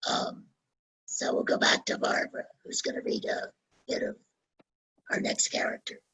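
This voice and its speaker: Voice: normal speed, sharp tone, rough recording. A host and author loosely introducing the next reader in a whispery, hesitant way. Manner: whispery, hesitant, many fillers. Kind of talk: casual introduction.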